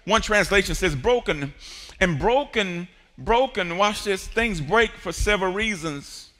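A man in his forties preaching a sermon on a large church stage, building to shouts and calling on the congregation to answer. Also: high-pitched, lively intonation, a little slow. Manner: preaching with rising shouts and call-and-response. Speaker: pastor. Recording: long hall reverb.

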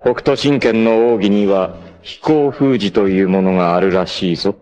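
An actor playing a proud, cool martial arts warrior in a 1980s anime, with a sardonic, dramatic delivery. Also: male voice, on the low side, normal speed, darkish tone, close to an everyday voice. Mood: scornful, taunting. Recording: noisy 1980s anime soundtrack.

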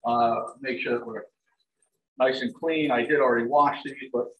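A man's voice: mid-range voice, normal speed. Man giving a cooking demo in an echoey kitchen. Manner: relaxed, step-by-step instructions with frequent "um" and "like I said". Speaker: chef and dining services director. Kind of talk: live cooking demonstration. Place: large home kitchen, indoors. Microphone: fixed camera across the counter. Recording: echoey kitchen, voice from a distance, faint hiss. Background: turkey sizzling in a pan.

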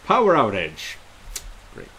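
Man with lively, swooping pitch, gaming. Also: takes it slowly, slightly rough. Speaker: gaming video creator. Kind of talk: casual playthrough commentary and dialogue reading. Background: game music and rain ambience.

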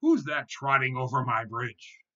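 Man playing a loud, roaring, bad-tempered troll. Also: medium pitch, lively intonation, muffled recording, full character voice. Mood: menacing. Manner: roaring, menacing, drawn-out threats. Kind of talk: children's storybook character voice.

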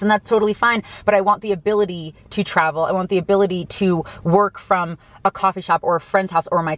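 A woman's voice: medium pitch, a bit bright, dry recording. An American woman in her early thirties talks casually and earnestly to camera as a vlogger, stressing her points, recorded inside a small parked car. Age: early 30s.

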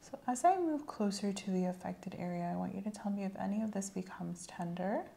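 A soft-spoken woman in an ASMR medical exam roleplay, giving gentle, measured instructions as the examiner. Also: medium pitch, creaky, slight background noise. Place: small indoor room set up as an exam room.

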